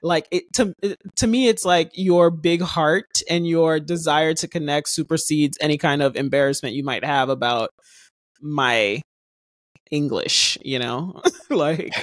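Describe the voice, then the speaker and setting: A woman's voice: deep voice, measured pace, no room sound. A woman from Haiti who grew up in the US, hosting a podcast, talking at length with many fillers. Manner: talkative, warm rambling with many fillers. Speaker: podcast host. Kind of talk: casual interview.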